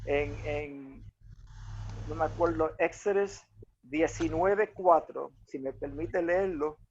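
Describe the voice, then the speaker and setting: A man whose first language is English, speaking Spanish in a casual Bible discussion and often pausing to search for words. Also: a little high, a little mellow, muffled recording, a little hiss or hum. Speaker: Bible study participant.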